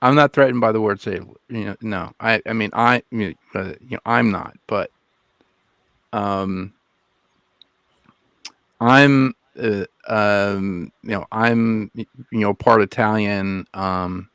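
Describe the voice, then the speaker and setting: A man from the United States co-hosting a podcast, talking hesitantly with many pauses and restarts. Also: on the low side, a bit bright, clean tone, no echo. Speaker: podcast co-host. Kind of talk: casual podcast discussion.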